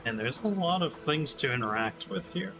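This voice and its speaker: A man's voice: on the high side, expressive, normal speed, over music. A man giving casual commentary as he streams a playthrough of a video game.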